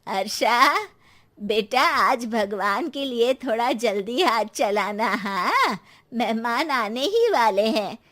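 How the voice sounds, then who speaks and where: A woman's voice: fairly high pitch, lively intonation, slow pace, fairly bright tone. A woman voicing an older, proud, fussing mother-in-law in a children's cartoon story, with a coaxing manner. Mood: urging. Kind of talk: children's animated moral story.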